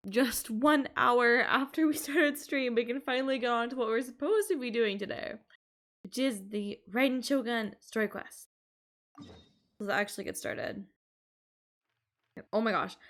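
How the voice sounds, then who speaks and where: Chatty, creaky-voiced woman streamer. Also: on the high side, a bit bright. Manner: informal, chatty, rambling. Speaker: livestreamer. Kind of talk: casual gaming stream commentary.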